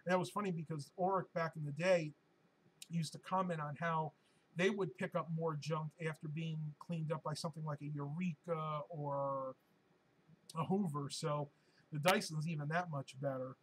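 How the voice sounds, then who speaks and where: A man with a hoarse, fading voice hosts a casual live-stream Q&A, answering viewer questions in a chatty, rambling way with plenty of ums and uhs. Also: fairly high pitch, steady tone, moderate pace, slight background noise, poor audio. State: hoarse, losing his voice.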